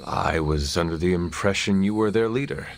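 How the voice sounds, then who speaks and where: Low, formal, wary warrior. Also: male voice, creaky, a little hiss or hum. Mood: wary, probing. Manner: formal, stilted, measured, without contractions. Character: formal, wary ancient warrior, male, out of his time. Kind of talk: cinematic video game dialogue.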